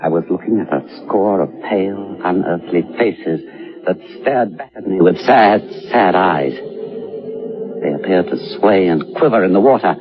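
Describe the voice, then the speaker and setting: A man's voice: sits low, moderate pace, close to an everyday voice. Old radio horror play, guy acting haunted seaman telling his tale, grave n suspenseful, music behind, muffled. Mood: hushed dread. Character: haunted sailor recounting horror at sea, breaking into panic. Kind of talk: dramatic radio horror narration.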